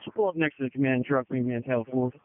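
A man's voice: medium pitch, very fast, slight background noise. A man acting as fire chief in command, giving short, matter-of-fact orders over a two-way radio. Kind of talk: emergency radio traffic, incident command. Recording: tinny narrowband fire radio transmission.

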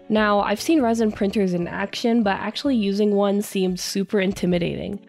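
A woman's voice: mid-range voice, a little mellow. Congested woman narrating a craft tutorial. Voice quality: nasal. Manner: friendly, explanatory, tutorial-like. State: congested from a cold. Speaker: craft YouTuber. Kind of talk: scripted voice-over narration.

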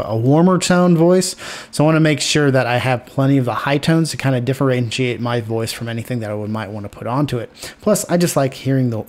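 A man in his thirties giving a casual, loosely explanatory software tutorial. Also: medium pitch. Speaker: video editing tutorial host.

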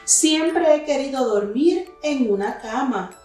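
A woman's voice: high-pitched, animated, takes it slowly, a little mellow, soft background music. A woman voicing a small, wishful toy bear from a storybook, naive and full of wonder. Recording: very echoey room, distant mic.

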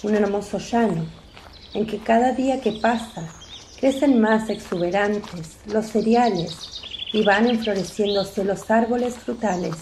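A woman's voice: medium pitch, measured pace, a little mellow, a little hiss or hum. A woman reading scripted narration for a biblical radio drama.

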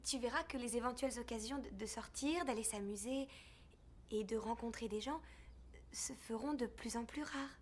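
An actress with a French accent playing a gentle, candid young female student in a dubbed comedy film. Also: on the high side, moderate pace, slight background noise, natural voice. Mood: earnest, evasive. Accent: French (France). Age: young adult.

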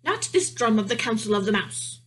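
An exasperated lady mouse played by a woman storyteller, raised in pitch and dismissive. She delivers her storybook lines with sighing, theatrical disdain. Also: very animated, a little fast, fairly bright tone, a little hiss or hum. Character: exasperated, dismissive adult female mouse, wife. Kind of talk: children's storybook reading.

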